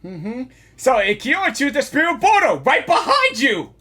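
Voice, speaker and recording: A woman giving casual live gaming reactions, excitable and repeating herself as she thinks aloud. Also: sits high, normal speed.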